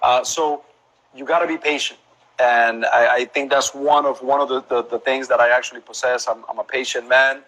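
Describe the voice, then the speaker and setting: A laid-back man in his thirties with a light Colombian Spanish accent speaks over a live video call. His voice sits in a middle pitch. He drops in man and bro often and restarts phrases while he gathers his thoughts. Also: fast talker, low-quality recording. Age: 30s. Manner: relaxed, calls the host man and bro, repeats words while thinking. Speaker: photographer and business owner. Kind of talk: casual interview chat. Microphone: phone or laptop on a live video call.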